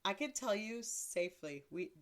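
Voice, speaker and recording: A woman in her thirties with a deeper voice, hosting a casual solo podcast in a blunt, crude, self-mocking way and laughing often. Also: lively intonation, moderate pace. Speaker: podcast host.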